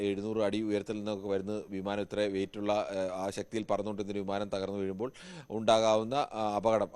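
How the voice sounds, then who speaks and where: Man, Malayali accent, low voice, rapid speech, live news analysis, halting repetitive explanations, correspondent. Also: a little mellow, smooth. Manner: halting, repetitive explanatory delivery in long run-on sentences.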